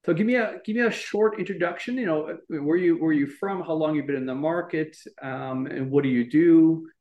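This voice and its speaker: A man's voice: on the high side, normal speed, narrow, tinny audio. A man hosting a podcast interview, asking loose questions that restart and ramble. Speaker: podcast host. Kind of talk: casual interview.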